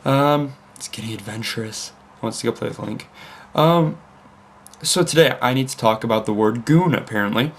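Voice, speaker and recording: A man's voice: mid-range voice, normal speed, slight background noise. A young man with a mixed American and Leeds accent, chatting to a webcam at his computer. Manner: rambling, self-interrupting, with fillers. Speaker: vlogger. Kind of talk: casual chat to camera. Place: home room at a computer desk.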